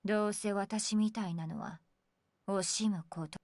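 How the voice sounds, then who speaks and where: A woman's voice: medium pitch, fairly even intonation, brisk, darkish tone, no echo, lightly acted. A woman playing a cold, menacing young woman in a visual novel, curt and contemptuous. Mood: cold, threatening. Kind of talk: Japanese visual novel voice acting. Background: game music.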